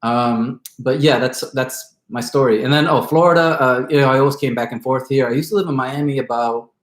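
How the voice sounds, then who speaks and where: A man's voice: medium pitch, brisk, roomy sound. A middle-aged man with a mixed Chicago and New York accent, chatting casually on a live stream with many hesitant fillers. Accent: mix of Chicago and New York. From born in Brooklyn, grew up in Chicago.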